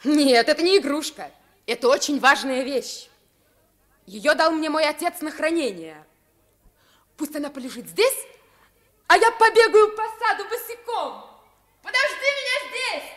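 An actress playing a lively, bossy young boy prince in a radio play. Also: high voice, unhurried, pauses often. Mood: earnest, hurried. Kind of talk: Russian radio play acting. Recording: old 1950s radio recording.

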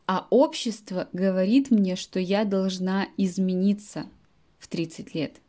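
A woman's voice: medium pitch, a little slow, mellow. A woman in her late twenties, a native-speaking language teacher, talks to her students in a podcast monologue and stops to explain words in simple terms. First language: Russian. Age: late 20s.

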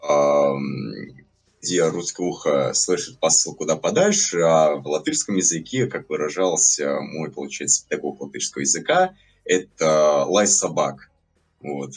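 Podcast guest: young man from Latvia, very deep and husky, rambling and hedging. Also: a little slow. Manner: rambling, many hedges and fillers. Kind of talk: casual podcast chat.

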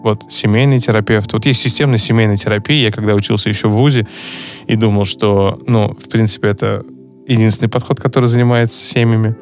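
A man with a somewhat low voice who isn't a native speaker chats casually on a podcast. He jokes around and stumbles through plenty of fillers and restarts, with soft music underneath. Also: steady tone, fast talker. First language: not Russian. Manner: hesitant, many fillers and restarts, joking. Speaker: podcast co-host, psychologist. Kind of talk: casual chat.

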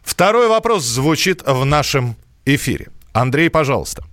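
A man's voice: a little high, sing-song, moderate pace, fairly bright tone, dry recording, clean studio sound. A man co-hosting a live radio quiz in a chatty way, carefully reading out numbers digit by digit. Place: close dry setup for a home radio broadcast.

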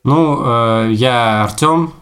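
A man's voice: medium pitch, drawn-out words, fairly bright tone. Podcast co-host, male, late twenties, mid-range voice, informal conversational delivery. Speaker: podcast co-host, teacher and young historian. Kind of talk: casual group podcast chat.

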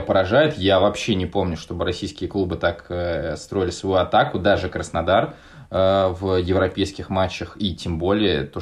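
A man hosting a football podcast, talking casually through match analysis with frequent hesitations. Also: sits low. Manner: hesitant, many "э" fillers. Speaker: podcast host.

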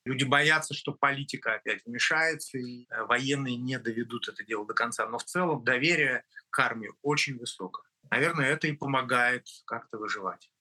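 A middle-aged man from Russia, a guest in an interview, speaking earnestly and emphatically in short, measured phrases. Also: mid-range voice, bright, forceful tone.

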